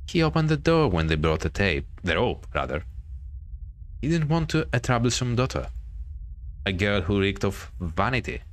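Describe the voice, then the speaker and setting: Horror game ghost girl, played by a man: bitter, vain, low register, expressive dramatic storytelling. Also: moderate pace. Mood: brooding. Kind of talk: visual novel horror read-aloud.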